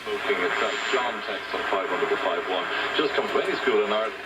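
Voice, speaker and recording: A man's voice: lowish voice, expressive, fast talker. A man speaking on a radio broadcast, heard through an old transistor radio. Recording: distant, very noisy transistor radio reception.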